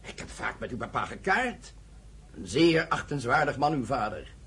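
Guy voicing a stern husky official in a radio play, curt, bossy, pitch all over. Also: big pitch swings, normal speed, a bit bright, heavily acted. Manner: gruff, curt and commanding. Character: stern middle-aged male revolutionary official. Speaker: prison commander.

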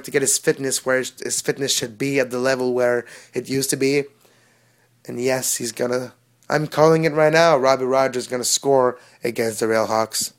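Canadian guy hosting a soccer podcast, chatty and loose, mid pitch voice. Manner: casual, chatty, non-native phrasing with occasional French words. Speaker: podcast host. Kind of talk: solo soccer podcast monologue.

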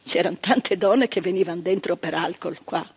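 An actress playing an older woman who once worked in a psychiatric hospital, telling her memories, dropping to hushed tones and rising to emphatic outbursts. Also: lowish voice, moderate pace, husky. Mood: indignant recollection. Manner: vivid storytelling, swinging from hushed to emphatic outbursts. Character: older woman, former asylum ward worker, indignant. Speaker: monologue performer. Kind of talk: stage monologue.

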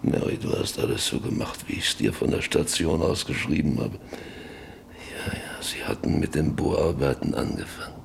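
An actor playing a troubled middle-aged farmer and father in a German radio play, halting and repeating himself as he broods. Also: rumbling low, gravelly, slight background noise, exaggerated voice. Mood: brooding, murmured. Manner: halting, repeating himself, half-murmured reminiscing. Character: troubled farmer and father, bedridden, haunted by guilt.

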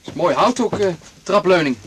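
An actor playing a polite, noncommittal husband, hesitant and conciliatory, in naturalistic radio drama. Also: male voice, high-pitched, animated, normal speed, noisy background. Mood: polite, awkward. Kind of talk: naturalistic 1980s Dutch radio drama acting.

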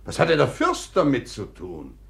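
An actor in a 1950s German radio play, playing a blustering, indignant general. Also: male voice, mid-range voice, huge pitch swings, normal speed, gravelly, fairly bright tone, full character voice.

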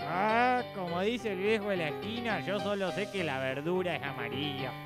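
A man's voice: sits high, moderate pace, music in the background. A man with a Rioplatense Argentine accent trading playful lines in a radio sketch. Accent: Rioplatense Argentine Spanish. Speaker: radio show participant.

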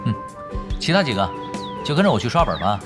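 Man acting a laid-back gamer over loud music. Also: medium pitch, very animated, fast, close to an everyday voice. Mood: easygoing, persuading. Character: laid-back, easygoing young male expert online gamer. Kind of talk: Chinese audio drama acting.

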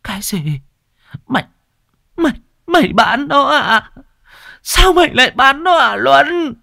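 A man's voice: very high voice, sing-song, measured pace, creaky, sharp tone, dry recording, studio quality, pitched above the performer's own voice, exaggerated voice. A man voicing a frail, grieving old village mother who wails and sobs. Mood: wailing. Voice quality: wailing, sobbing.

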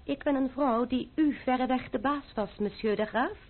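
Radio drama: young noblewoman avenger, proud, scornful, high voice with a husky edge, old narrow-band recording. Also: moderate pace. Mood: proud, defiant. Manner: haughty, scornful, commanding. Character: proud, defiant young French noblewoman, sword-fencing avenger. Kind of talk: Dutch radio play acting.

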